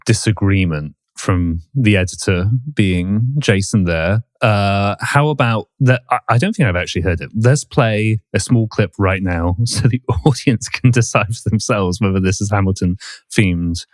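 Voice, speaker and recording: This is a man hosting a podcast, his voice a little low and roughened by hoarseness. He keeps things brisk and upbeat as he steers the conversation. The sound is close and dry, with no room echo. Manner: brisk, upbeat host reading intros and steering. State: hoarse from poor sleep. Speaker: podcast host. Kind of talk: casual podcast chat.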